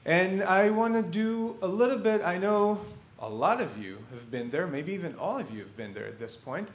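A man's voice: sits high, expressive, normal speed. Man lecturing in an echoey hall. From Israel. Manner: conversational, earnest, with restarts. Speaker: guest speaker, photographer. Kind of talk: public lecture.

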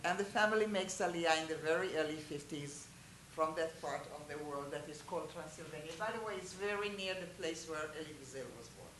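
Israeli-born lecturer, native Hebrew and Hungarian, lively question-asking teaching style, roomy echo. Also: mid-range voice, fairly quick, few pauses, background noise. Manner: chatty, asks the listeners questions, animated asides. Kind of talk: informal lecture to a small group.

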